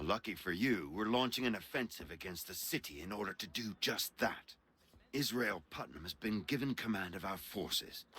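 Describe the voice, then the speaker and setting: A man's voice: fairly low pitch, fairly bright tone, slightly rough. An actor playing a genial, shrewd patriot statesman in a video game, courteous and articulate. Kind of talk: dramatic video game acting.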